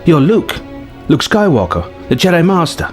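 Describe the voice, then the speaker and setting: A man voicing an earnest, awestruck young woman apprentice who speaks haltingly in a space-adventure story. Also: fairly high pitch, wildly swooping pitch, soft background music, pitched above the performer's own voice. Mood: awed, tearful.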